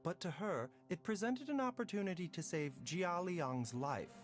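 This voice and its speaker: A man playing a calm, gentle, learned doctor in a video game, speaking in a measured, articulate way. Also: high-pitched, soft background music. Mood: explanatory.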